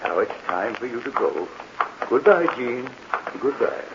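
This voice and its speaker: An actor playing a gentle, eccentric old morgue keeper in a 1940s radio mystery, talking in a soothing, earnest, rambling way on a dull vintage recording. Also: male voice, medium pitch, sing-song, measured pace, big-room sound, heavy background noise. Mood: soothing, hushed. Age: older.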